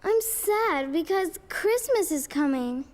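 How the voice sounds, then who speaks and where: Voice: extremely high pitch, lively intonation, slow pace, full character voice. A performer voicing a kind, reassuring little girl acting in a school play in a cartoon. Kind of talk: scripted children's cartoon acting. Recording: hall reverb, faint music behind.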